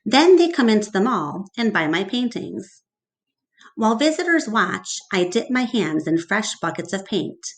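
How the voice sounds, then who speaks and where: Slow, very expressive woman reading aloud. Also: medium pitch. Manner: deliberate, expressive. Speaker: teacher reading aloud to class. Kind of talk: storybook read-aloud narration with questions to children.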